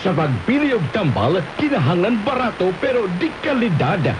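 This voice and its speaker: A man's voice: high voice, big pitch swings, moderate pace. A man with a Cebuano Filipino accent doing punchy scripted radio announcements and commercials. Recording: hissy, noisy AM radio reception.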